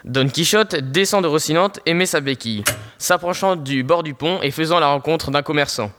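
Slightly high-pitched teenage male narrator. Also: normal speed, a bit bright, a little hiss or hum. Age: teens. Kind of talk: scripted narration of audio fiction.

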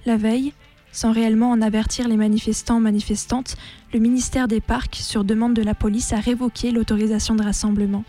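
A woman's voice: on the high side, flat delivery, a little fast, warm tone, faint music behind. A woman reading scripted documentary narration.

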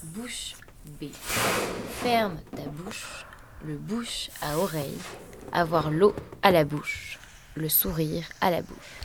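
Loud noise sits around a woman's fairly low voice. She recites short, detached phrases very slowly and deliberately, like a narrator in an artistic radio sound piece.

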